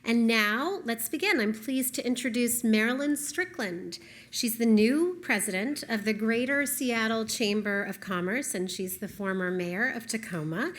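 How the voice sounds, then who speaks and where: A woman's voice: fairly high pitch, unhurried, a bit bright. A woman hosting an event, giving scripted housekeeping notes and introductions from the stage. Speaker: event host introducing speakers.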